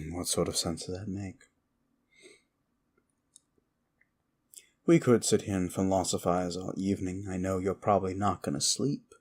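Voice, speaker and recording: A man's voice: low-pitched, steady tone, fairly quick, a little mellow, breathy edge. A man voicing a sardonic, seductive demon of desire in a comfort ASMR roleplay, teasing and musing without hurry. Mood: sardonic, weary.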